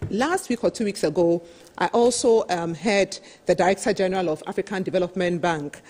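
A middle-aged woman with a slightly husky voice, giving an emphatic, explanatory business presentation as a panel speaker, often saying "you know". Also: on the low side, a little fast, a little mellow. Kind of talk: business presentation at a televised roundtable. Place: television studio, seated at a desk.

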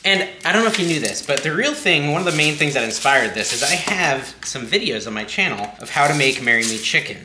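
A man's voice: fairly high pitch, big pitch swings, brisk, few pauses. A man in his thirties hosting a casual home cooking vlog, chatty and self-deprecating, with his voice a bit worn from losing it. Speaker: cooking channel host. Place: home kitchen, indoors. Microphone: backup camera mic at a distance. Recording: echoey kitchen, noisy backup mic.

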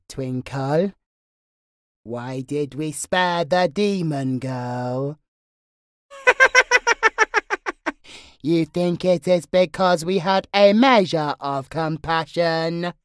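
A woman's voice: low voice, smooth, no echo, clean studio sound. A woman playing a gleeful, sinister demonic entity in a horror audio roleplay, taunting in a singsong, menacing way.

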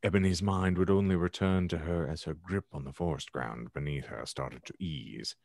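A man's voice: low voice, moderate pace, creaky, darkish tone, no echo. A man reading fan fiction dramatically as a podcast host, in a theatrical manner, close to the microphone at home. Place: home room, on a video call.

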